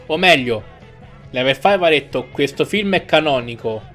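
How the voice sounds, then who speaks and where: A young adult man giving casual reaction commentary on an animated film. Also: mid-range voice, normal speed. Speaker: reactor commenting on an anime fandub. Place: indoor room at home. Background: music and sound of the anime playing.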